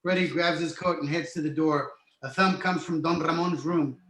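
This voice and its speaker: A man's voice: on the high side, steady tone, normal speed, a bit bright. A man reading scripted screenplay stage directions as narrator, in an echoey room. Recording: roomy, echoey sound.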